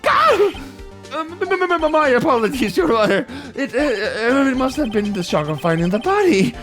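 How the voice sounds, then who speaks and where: A man's voice: very high voice, very animated, normal speed, a bit bright, faint music behind, voice pushed up, exaggerated voice. A man voicing a flustered, nervous, shifty male witness in a stammering comic courtroom reading.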